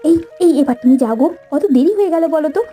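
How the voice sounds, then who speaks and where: Cartoon heroine, acted by a woman: loving young sweetheart, tender, high pitch, quick pace. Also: animated, mellow, soft background music. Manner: tender, coaxing. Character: devoted, affectionate young woman in love. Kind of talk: acted cartoon story dialogue.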